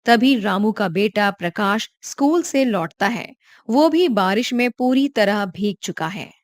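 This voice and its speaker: Woman telling a cartoon story, calm and even, dry close mic. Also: mid-range voice, a little slow, no echo. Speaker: narrator. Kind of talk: scripted storybook narration.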